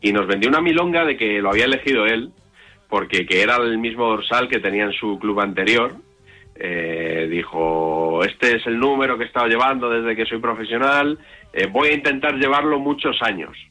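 A man's voice: mid-range voice, animated, normal speed, bright, forceful tone, soft background music. A man hosting a football radio show, telling anecdotes in a wry, digressive way. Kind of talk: casual sports radio chat.

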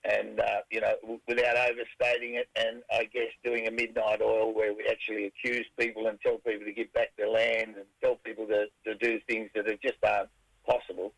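A middle-aged Australian man with a raspy voice, a rock band singer answering interview questions in a rambling way, full of fillers and restarts. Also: lowish voice, flat delivery, a little fast, fairly bright tone, rough recording.

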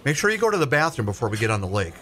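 A man playing a patient, practical grandfather in a radio play, gently fussing over his grandson. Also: mid-range voice, sing-song, rapid-fire, slight background noise.

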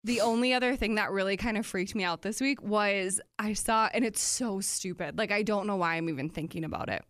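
A woman in her thirties co-hosting a casual chat, telling stories with lively acted-out reactions. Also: mid-range voice, fairly quick, dry recording, studio quality. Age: 30s. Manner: animated storytelling, acts out her own reactions.

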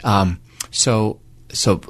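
A man's voice: lowish voice, drawn-out words. A man from Lebanon whose first language is Arabic, speaking in a light Lebanese accent as a radio guest, earnest and thoughtful, with many fillers and restarts. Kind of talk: conversational interview.

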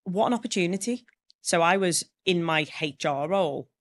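A woman with a Liverpool accent, a public speaker and trainer, telling her story as a guest in a podcast interview. She speaks as a lively storyteller and acts out the voices of people she quotes. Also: fairly low pitch, normal speed. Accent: Liverpool (Scouse). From Liverpool, England.

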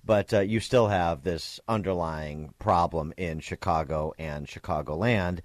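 Low-voiced radio guy hosting morning talk, wry and chatty, dry studio sound. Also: unhurried, no room sound. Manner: wry, conversational, rattles off phone numbers. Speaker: radio talk show host. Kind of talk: casual talk radio commentary. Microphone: studio microphone.

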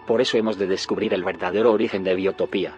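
An actor playing a grave, secretive scientist in an audio drama, solemn and explanatory. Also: male voice, low voice, steady tone, quick pace, dull audio, soft background music, close to an everyday voice. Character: grave, secretive male scientist haunted by failed timelines. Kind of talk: audio fiction drama acting.

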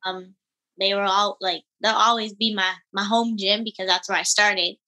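A woman in her twenties, an elite gymnast and interview guest, talking in a relaxed, giggly way with many fillers. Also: medium pitch, normal speed, rough recording. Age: 20s. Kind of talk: casual interview chat.

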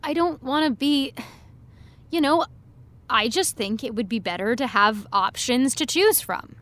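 A woman playing an awkward, evasive high school senior, hesitant and apologetic, in a fiction podcast. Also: lively intonation, a little slow, fairly bright tone. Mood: awkward, defensive. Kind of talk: scripted audio drama dialogue.

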